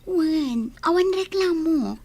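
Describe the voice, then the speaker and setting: A woman's voice: very high voice, animated, slow, warm tone, dry recording, heavily acted. A woman playing a stubborn, defiant young village maiden in a radio drama, with pert, emphatic delivery. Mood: yielding, scheming.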